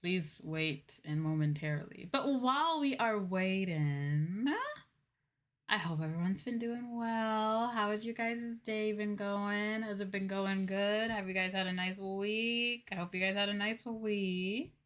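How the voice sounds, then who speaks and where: A woman's voice: mid-range voice, unhurried. A woman livestream host chatting casually to her viewers in an informal, gushing way. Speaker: game livestream host, VTuber.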